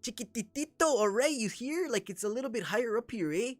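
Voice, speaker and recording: A high, sing-song Mexican-accented guy. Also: lively intonation, a little fast, no echo, faint music behind. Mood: playful.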